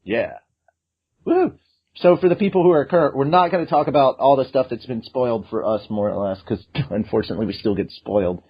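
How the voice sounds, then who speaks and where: A podcast host leading a casual chat in an excitable, rambling way. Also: medium pitch, fairly quick, husky, dull audio.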